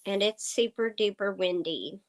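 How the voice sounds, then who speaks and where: A woman from Oklahoma hosting a casual livestream chat with viewers. Her voice is slightly hoarse. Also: mid-range voice, rough recording. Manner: slow, chatty, talking to viewers. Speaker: livestream host. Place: farm home indoors. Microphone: computer streaming setup.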